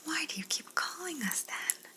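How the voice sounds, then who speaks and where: A woman playing a polite, soothing female alien abductor in a whispered ASMR roleplay, gently reassuring and clinical in manner. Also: high-pitched, sing-song, moderate pace, voice pushed up. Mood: calm, musing. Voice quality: breathy unvoiced whisper.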